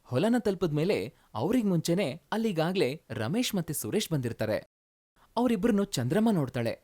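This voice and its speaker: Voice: medium pitch, sing-song, normal speed, darkish tone. A storyteller reading scripted narration for a moral tale.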